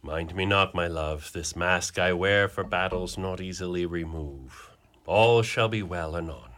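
A man playing a brooding, jealous warrior husband in an amateur Shakespearean radio drama, with a slow, declamatory delivery. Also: sits low, a bit bright. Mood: brooding, ominous.

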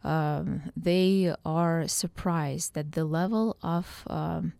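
A woman from Ukraine, a political science professor, answering radio interview questions thoughtfully with frequent pauses and fillers. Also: deep voice, gravelly, dark tone, no room sound. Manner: thoughtful, with many hesitations and fillers.

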